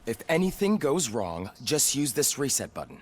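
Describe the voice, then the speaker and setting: A man's voice: mid-range voice, very animated, normal speed. An actor playing a casual, jumpy male technician in a video game. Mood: matter-of-fact. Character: casual male technician, jumpy around his dangerous machine. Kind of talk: video game cutscene acting.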